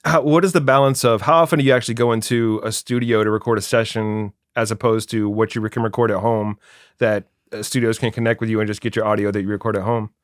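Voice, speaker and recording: A man's voice: on the low side, fairly even intonation, fast, no echo, clean studio sound. A man hosting a podcast, asking interview questions in casual conversation. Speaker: podcast host.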